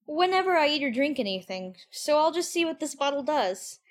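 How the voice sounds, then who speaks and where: A curious, chatty little girl, acted by a woman, with a high voice. She is polite and a little prim, thinking aloud to herself in a storybook manner. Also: big pitch swings, a little fast. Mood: eager, curious. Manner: musing, prim, talking to herself. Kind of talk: audiobook character acting.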